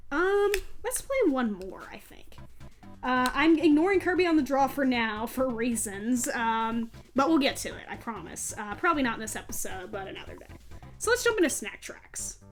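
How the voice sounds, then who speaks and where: A woman's voice: high voice, expressive, moderate pace. A woman hosting a let's play, giving casual gameplay commentary in a chatty, self-deprecating way. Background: video game music.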